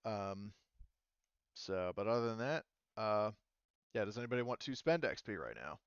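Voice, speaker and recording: A man's voice: fairly low pitch, animated, normal speed, clean tone, no room sound, lo-fi sound. A man chatting casually as the game master of a tabletop roleplaying session.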